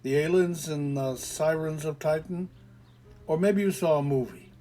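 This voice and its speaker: Guy playing a calm skeptical grown-up, soothing and lecturing, talks her down patiently. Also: a little high, normal speed, faint music behind, close to an everyday voice. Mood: patiently explaining. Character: calm skeptical adult man, patient explainer. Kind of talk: audio short story reading.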